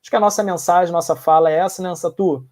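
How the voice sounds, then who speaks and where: A Brazilian man speaks as a panel guest in a fairly high voice with a very soft, dark tone. His delivery is informal and conversational. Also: steady tone, quick pace.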